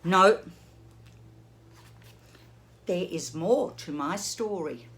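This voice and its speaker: A woman voicing an earnest male elephant who tells his long story slowly and dramatically in a storybook reading. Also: fairly low pitch, fairly bright tone, voice pushed down. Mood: emphatic.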